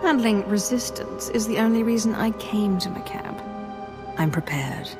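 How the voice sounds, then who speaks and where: A woman's voice: mid-range voice, lively intonation, unhurried, natural voice. A woman playing a cold, imperious Sith warlord, curt, commanding and menacing, in a video game. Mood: cold menace. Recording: music behind the voice.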